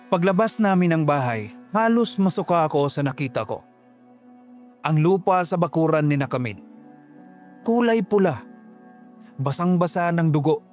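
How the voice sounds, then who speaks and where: A man's voice: a little high, animated, normal speed, pauses often, darkish tone, soft background music. A man narrating a first-person horror story in a tense, dramatic way.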